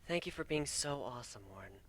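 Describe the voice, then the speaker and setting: A man voicing an affectionate, slightly anxious female changeling in a storybook reading, soft and breathy, with a gentle, hesitant manner. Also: mid-range voice, expressive, brisk, no room sound.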